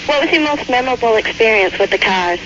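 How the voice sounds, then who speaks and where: A woman phoning in to a radio show, slow and a little shy and giggly. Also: a little high, expressive, noisy background. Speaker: caller. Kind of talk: phone-in question. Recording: lo-fi phone call on the radio.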